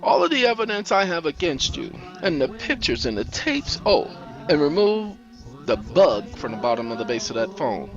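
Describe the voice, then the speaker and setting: A man's voice: a little high, big pitch swings, normal speed, dull audio, soft background music, pitched above the performer's own voice. A man playing a stern federal agent who speaks firmly, with cool threats and taunts. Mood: taunting. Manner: firm, coolly threatening.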